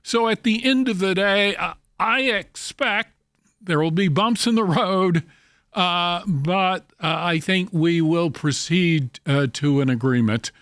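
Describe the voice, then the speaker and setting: A man speaking as an expert commentator in an interview, halting and deliberate as he explains economics. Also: high-pitched, a bit bright, dry recording, clean studio sound.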